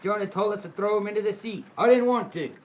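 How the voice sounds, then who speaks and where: A man's voice: high voice, fast talker, close to an everyday voice. A man playing a defensive sea captain in an amateur audio drama. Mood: angry, defensive.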